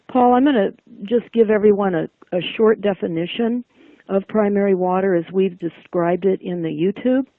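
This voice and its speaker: A woman's voice: fairly low pitch, no room sound. Woman host on a tinny phone line. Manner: measured, explains at length to listeners, drawn-out phrasing. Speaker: interview host. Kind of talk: interview hosting.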